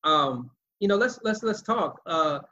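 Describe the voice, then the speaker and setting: A man's voice: fairly high pitch, animated, measured pace, bright, forceful tone, dull audio, slight background noise. Friendly male interview host. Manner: friendly, loose, repeats words. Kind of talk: casual interview over a call.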